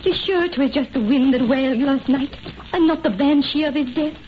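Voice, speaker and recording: A woman's voice: high-pitched, a little fast, close to an everyday voice. An actress playing a devoted, yearning young Irish woman, earnest and melodramatic, in an old-time radio horror play. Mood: wistful. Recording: dull old radio recording, faint noise.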